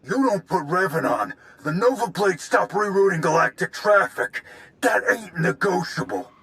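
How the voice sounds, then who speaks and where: A blustering space pirate boss for a game. His voice is sharp and forceful with big swings in pitch. He talks in rough, threatening slang. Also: very animated, moderate pace, exaggerated voice. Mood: demanding, angry. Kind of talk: video game voice acting.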